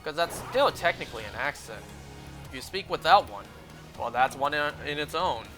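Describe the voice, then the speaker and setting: Bright-voiced young North American male game streamer. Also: on the high side, animated, normal speed, faint music behind. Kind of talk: casual chat while playing a video game live.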